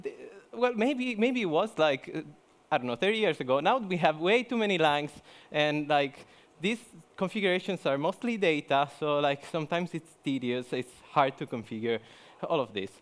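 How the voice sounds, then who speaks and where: A man's voice: a little high, animated, moderate pace. A young man from Italy gives a joking, chatty conference talk, full of fillers and restarts. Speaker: conference speaker. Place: conference hall.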